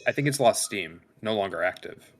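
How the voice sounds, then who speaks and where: A man playing a cautious, clinical spaceship science officer in a sci-fi script read, with technical, measured wording. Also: lowish voice, lively intonation, slight background noise, lightly acted. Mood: analytical.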